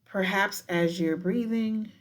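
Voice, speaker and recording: A woman guiding a meditation in a deliberate, unhurried manner with frequent pauses. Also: medium pitch. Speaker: professor guiding a breathing meditation. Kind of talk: guided meditation with spoken poetry.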